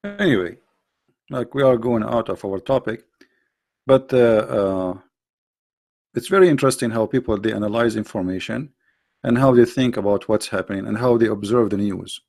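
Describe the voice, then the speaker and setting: A man's voice: lowish voice, moderate pace, creaky, darkish tone. A middle-aged man hosting a live stream, lecturing emphatically on religion in non-native English with frequent restarts and reading out viewer questions.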